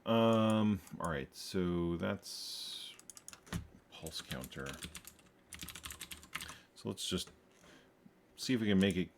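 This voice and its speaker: A man's voice: sits low, expressive, drawn-out words, pauses often, noisy background. A man narrating his own programming as he works, thinking aloud with wry asides and frequent self-corrections. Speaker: programmer streaming his coding. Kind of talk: thinking aloud while coding. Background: faint keyboard typing.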